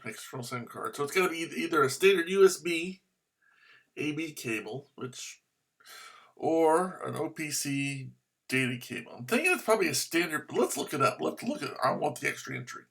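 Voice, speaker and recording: Man, gravelly voice, bright and tense tone, lively pitch, talking to himself at a desktop mic. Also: normal speed. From United States. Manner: muttering to himself while searching, bursts of excited cheering. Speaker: ham radio vlogger. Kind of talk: casual screen-recorded commentary.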